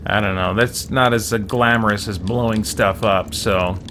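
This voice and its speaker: A man's voice: fairly low pitch, steady tone, moderate pace, bright, forceful tone, slight background noise. A man streaming a role-playing game, giving casual commentary and muttering his thoughts as he goes. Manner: thinking aloud, muttering to himself.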